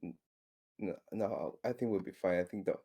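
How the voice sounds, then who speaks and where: Male RPG player: low, soft-toned, informal, halting delivery with repeated words. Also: slightly rough. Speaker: tabletop game player. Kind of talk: casual in-game chat.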